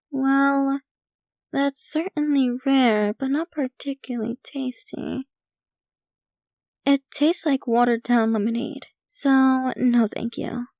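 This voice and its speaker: Woman doing a gushy yandere vampire gf, asmr style, high voice, super close dry sound, doting and possessive. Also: fairly even intonation, slow, gravelly, long pauses, darkish tone, dry recording, full character voice. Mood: playful, indulgent. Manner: theatrical, doting, gushing. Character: possessive, rich, devoted yandere vampire girlfriend. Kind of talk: ASMR roleplay, scripted intimate monologue to listener.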